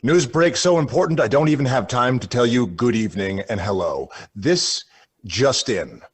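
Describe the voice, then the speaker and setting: Frantic, halting radio news anchor. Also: male voice, mid-range voice, animated, husky, no echo. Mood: grave alarm. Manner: dramatic, halting, repeats and stumbles over himself. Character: frantic, solemn male radio news anchor, absurdly alarmist. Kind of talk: mock breaking-news radio bulletin.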